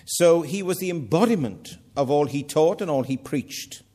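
A man's voice: fairly high pitch, expressive, normal speed, dark tone. An older man with a Welsh accent preaching a sermon, earnest with self-mocking humour. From Wales.

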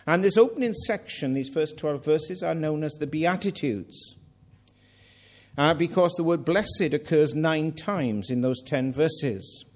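A man's voice: fairly high pitch, unhurried. An older man with a Welsh accent preaching a sermon, earnest with self-mocking humour. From Wales.

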